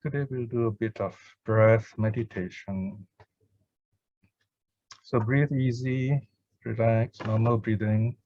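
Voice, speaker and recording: Slow, measured man leading meditation. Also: fairly low pitch, fairly even intonation, dry recording. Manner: gentle, measured, pausing between short phrases. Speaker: Buddhist teacher leading puja and guided meditation. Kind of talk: Pali chanting and guided meditation instruction. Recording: dull, lo-fi online call sound.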